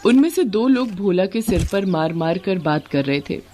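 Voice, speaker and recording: A woman's voice: fairly low pitch, expressive, moderate pace, mellow, slight background noise. A woman narrating a scripted moral story as a storyteller.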